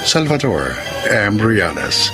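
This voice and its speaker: Animated Filipino male drama announcer over loud music. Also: lowish voice, takes it slowly. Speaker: radio drama announcer and narrator. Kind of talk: scripted dramatic narration.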